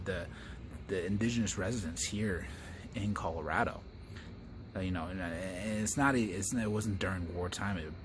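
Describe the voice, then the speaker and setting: A low-voiced American man shares personal reflections in a storyteller's style. He feels his way through sentences, repeating small words and pausing to search. Some background noise sits under his voice. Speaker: comedian and storyteller.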